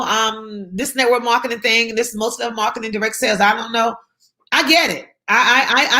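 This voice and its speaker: Bright, emphatic woman speaker. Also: fairly high pitch. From South Carolina. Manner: emphatic, repeats key phrases. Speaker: guest speaker. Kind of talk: motivational interview talk.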